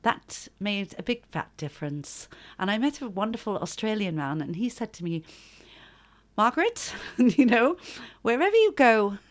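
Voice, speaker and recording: A woman's voice: medium pitch, normal speed. Chatty New woman, middle-aged. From New York. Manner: animated storyteller, swears freely, frequent restarts and laughs. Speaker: guest. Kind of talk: casual interview chat.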